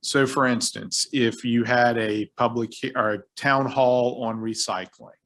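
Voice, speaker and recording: A man's voice: medium pitch, fairly even intonation, unhurried, fairly bright tone. A man who is the city attorney, briefing a city council on legal rules and walking through hypothetical examples. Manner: explanatory, uses hypothetical examples. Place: council chamber.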